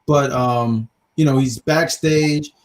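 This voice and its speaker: A man's voice: mid-range voice, slow pace. A man co-hosting a review show, chatting casually with a joking, teasing, rambling manner and repeating his phrases as he gives opinions. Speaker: co-host of a wrestling review show. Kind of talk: casual chat, opinionated commentary.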